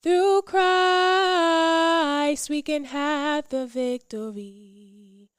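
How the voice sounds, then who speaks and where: A woman singing a devotional gospel song in drawn-out phrases. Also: sits high, steady tone, dry recording, studio quality, faint music behind. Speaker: singer. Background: musical accompaniment.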